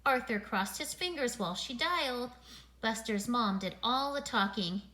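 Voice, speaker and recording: Bright, animated woman reading a children's storybook. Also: a little high, normal speed. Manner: expressive, acting out the dialogue. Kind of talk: animated read-aloud of a children's picture book.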